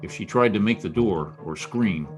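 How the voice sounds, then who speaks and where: A hardboiled private eye played by a man from an old-time radio noir. His voice sits fairly low, world-weary and wry, delivering sardonic tough talk over faint music. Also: normal speed, close to an everyday voice. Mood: grim narration. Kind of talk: old-time radio noir acting and first-person narration.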